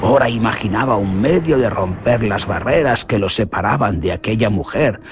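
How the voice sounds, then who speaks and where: A man with a Spanish accent from Spain, narrating a legend for a radio drama in an expressive, theatrical way. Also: on the low side, moderate pace, noisy background. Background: music.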